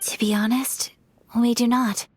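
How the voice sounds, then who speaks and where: A woman playing a refined, composed young noblewoman in a video game, speaking in a formal, measured, polite way with a soft voice. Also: fairly high pitch, little pitch movement, a little hiss or hum, close to an everyday voice. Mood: courteous, earnest. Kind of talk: video game dialogue acting.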